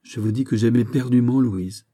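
A man's voice: mid-range voice, normal speed, warm tone, husky, close to an everyday voice. Man acting an anguished, lovesick young nobleman. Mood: pleading. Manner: earnest, melodramatic, polite. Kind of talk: dramatised audiobook of a historical novel.

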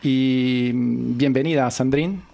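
A man's voice: medium pitch, fairly even intonation, slow, creaky, warm tone. A man hosting a radio show, introducing guests in a chatty, joking way. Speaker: radio host. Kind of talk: on-air radio interview.

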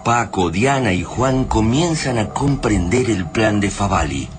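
A man's voice: lowish voice, lively intonation, unhurried. A man delivering scripted narration for a radio drama. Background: music.

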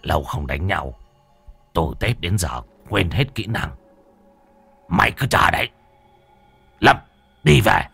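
Man putting on a low gruff swearing exorcist, quick-tempered, dry close sound, storybook. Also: big pitch swings, fairly bright tone, dry recording, faint music behind, exaggerated voice. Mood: grumbling. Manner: cursing, gruff. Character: foul-mouthed hot-tempered middle-aged male exorcist.

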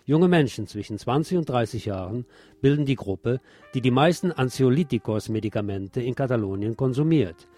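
A man's voice: medium pitch, animated, normal speed, mellow. A man presenting on radio, reading scripted news and commentary. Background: music.

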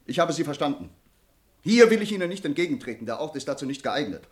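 A man's voice: fairly high pitch, very animated, fast. An actor playing a proud, indignant nobleman defending family honour in a radio play, with formal, stern declamation. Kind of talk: 1950s German radio play acting.

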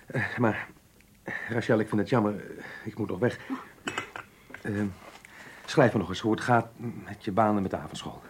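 A man's voice: fairly low pitch, normal speed, long pauses, close to an everyday voice. A man playing a kindly, fatherly schoolteacher in a radio play, genial and a little hesitant, on a noisy old recording. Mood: encouraging, measured. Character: kindly, older male schoolteacher, fatherly and measured. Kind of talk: Dutch radio play acting.

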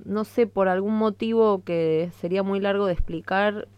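An Argentine woman giving a conversational radio interview as a musician, explaining things in a reflective, halting way. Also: mid-range voice, fairly even intonation, clean tone, no room sound, muffled recording. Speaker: interviewed hip-hop musician.

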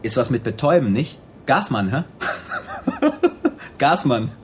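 A man's voice: medium pitch, sing-song, takes it slowly, slight background noise. An actor playing a pushy, falsely friendly young man in a naturalistic radio drama, cheeky and provoking. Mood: nosy, needling.

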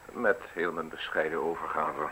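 A man's voice: very deep voice, fairly even intonation, normal speed, sharp tone, a little hiss or hum, low-quality recording. A man acting an anxious, cornered public prosecutor in a radio play, guarded and evasive in his short replies.

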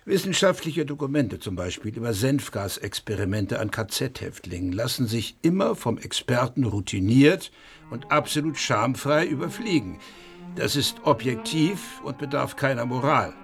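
An actor playing a detached doctor with a clinical, dismissive manner. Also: male voice, mid-range voice, creaky. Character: cold, detached male doctor. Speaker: physician.

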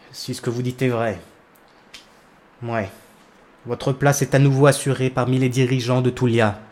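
A man's voice: medium pitch, little pitch movement, measured pace, long pauses, a little mellow, slight background noise, close to an everyday voice. A man acting a weary, desperate leader of a doomed planet in a French sci-fi audio drama, exasperated and sighing. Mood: grudging, stern.

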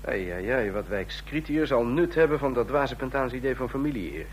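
An actor playing a suave, scheming ambassador in a Dutch radio drama, speaking formally and deliberately. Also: male voice, medium pitch, expressive, a little hiss or hum, close to an everyday voice. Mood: conspiratorial. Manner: formal, deliberate, declamatory when toasting. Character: suave, scheming ambassador of a small authoritarian state.

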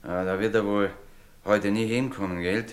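An actor playing a hearty village blacksmith in a radio play, rambling colloquially. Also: male voice, lowish voice, fairly even intonation, moderate pace, close to an everyday voice. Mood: genial, rambling. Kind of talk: German radio play acting.

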